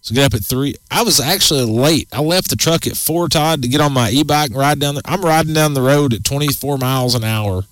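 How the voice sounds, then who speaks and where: A man's voice: medium pitch, fairly quick, few pauses, a bit bright, no echo. A man in his forties hosting a casual outdoors radio show, rambling and folksy with many restarts. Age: 40s.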